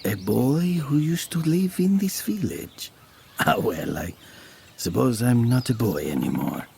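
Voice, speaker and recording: An actor playing a brooding village man who tells of his past in a measured, theatrical audio drama. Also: medium pitch, big pitch swings, vocal fry, dark tone, a little hiss or hum. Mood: haunted, wistful. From southern Italy. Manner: slow, measured, theatrical, with pauses. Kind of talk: dramatic first-person audio drama narration.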